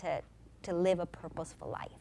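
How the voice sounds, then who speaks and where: A woman's voice: lowish voice, flat delivery, a little fast, creaky, dark tone, slight background noise. A woman from Mexico, a panel guest, speaking emphatically and repeating phrases for effect. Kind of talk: discussion panel answer.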